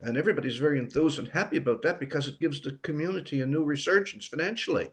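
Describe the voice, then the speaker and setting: Higher-voiced older man, reflective author. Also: very fast. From Newfoundland, Canada. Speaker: author, interview guest. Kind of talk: casual interview talk about writing.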